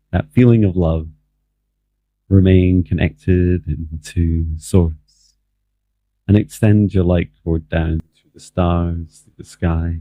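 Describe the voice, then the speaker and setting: A man leading a guided meditation as a spiritual mentor, speaking in a slow, calm, measured way with long pauses. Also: sits low. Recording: muffled, phone-like narrow band, dry.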